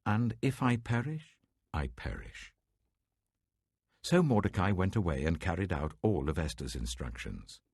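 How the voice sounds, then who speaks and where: Very low gravelly guy reading the bible, lots of rise and fall, dry close mic. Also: dry recording. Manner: measured, dramatic, lively pitch shifts. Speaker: Bible reader. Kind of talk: expressive scripture reading.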